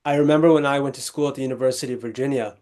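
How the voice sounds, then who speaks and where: A young man from Texas, a legal scholar, speaking as a guest in an interview, articulate and measured with small restarts. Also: medium pitch, fairly even intonation, a little mellow. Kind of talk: conversational interview storytelling.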